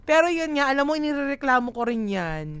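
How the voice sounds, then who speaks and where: A woman with a Filipino accent co-hosting a casual radio chat, bantering in an animated, teasing, playfully indignant way. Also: high voice, fast. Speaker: radio co-host.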